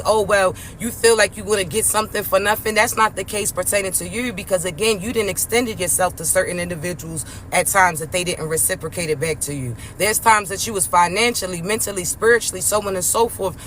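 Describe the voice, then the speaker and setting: A speaker with a raspy voice giving an emphatic motivational spiritual message to viewers, often checking in with the listener. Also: medium pitch, a bit bright, slight background noise. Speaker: spiritual message content creator.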